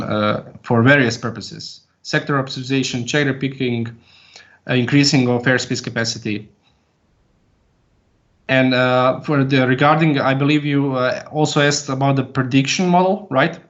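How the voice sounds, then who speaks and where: Male, medium pitch, PhD candidate fielding questions, hesitant explainer, muffled online-call sound. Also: fairly even intonation. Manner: halting, explanatory, with long hesitations. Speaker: PhD candidate defending a thesis. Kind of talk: formal academic question answering. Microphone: video call.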